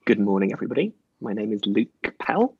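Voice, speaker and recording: A man speaking gently from his home over a video call, relaxed and softly spoken. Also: fairly low pitch, fast, breathy edge, dry recording. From Scotland. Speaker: artist and guest. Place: small room at home. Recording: dull lo-fi video call audio.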